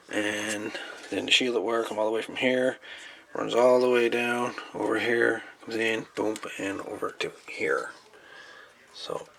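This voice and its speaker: A man's voice: medium pitch, vocal fry, fairly bright tone, slight background noise. A man giving casual hands-on commentary as a repairer, rambling and folksy, repeating his words as he goes. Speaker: hobbyist radio repairer presenting. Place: indoor workbench.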